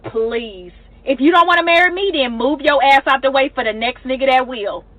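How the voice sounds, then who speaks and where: A woman's voice: high voice, moderate pace, sharp tone, dry recording, lo-fi sound. A woman with an American accent delivering a blunt, sassy, emphatic monologue.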